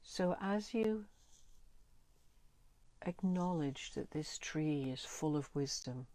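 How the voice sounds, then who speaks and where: Woman, low voice, very unhurried, soothing meditation guide, frequent pauses, dry close sound. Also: expressive, warm tone, no room sound, rough recording. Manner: soothing, deliberate, pausing between short phrases. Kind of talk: guided meditation, gentle spoken visualisation.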